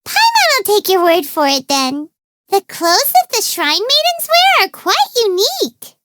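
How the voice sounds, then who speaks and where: A woman voicing a tiny, excitable, naive fairy companion in a video game, with a squeaky childlike voice and bubbly manner. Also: measured pace, noisy background, full character voice. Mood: chatty. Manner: bubbly, speaks of self in third person. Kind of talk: video game character dialogue.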